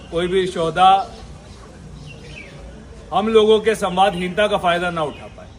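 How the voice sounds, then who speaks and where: A man's voice: high voice, fairly bright tone. A man speaking as a senior police officer, addressing a meeting in an exhortatory way with emphatic repetition. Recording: live speech with background noise.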